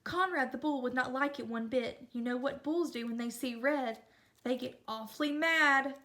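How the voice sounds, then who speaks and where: A woman voicing a great horned owl in a storybook, loud, hooting and knowing. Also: high voice, normal speed.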